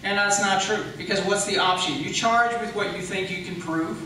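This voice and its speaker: Guy arguing his side in a debate, kinda high bright voice, really echoey. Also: fairly even intonation, moderate pace. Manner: argumentative, explanatory, poses questions. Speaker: candidate for county attorney. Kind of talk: political debate rebuttal. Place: large echoey room at a public debate. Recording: echoey room, distant mic, faint noise.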